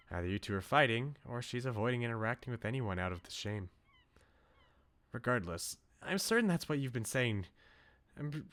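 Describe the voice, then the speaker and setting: A man's voice: mid-range voice, fairly bright tone. Man voicing an earnest, devoted young woman. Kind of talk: reading visual novel dialogue aloud in character. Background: game music.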